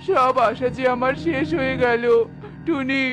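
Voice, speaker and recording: A performer voicing a gentle, sentimental male bird in a cartoon story, with music behind. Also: high-pitched, unhurried. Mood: dying, anguished.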